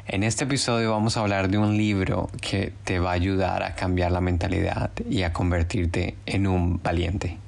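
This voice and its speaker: A man's voice: low voice, level tone, moderate pace, creaky, few pauses, darkish tone. A man hosting a podcast, speaking Spanish as his native language, talking to listeners in an earnest, encouraging and personal way. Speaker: podcast host. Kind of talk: casual podcast monologue.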